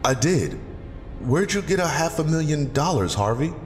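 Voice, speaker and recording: An actor playing a grave, disappointed older mentor in an audio drama, speaking in a measured, reproachful way. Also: male voice, fairly high pitch, animated, close to an everyday voice. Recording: echoing hall under music.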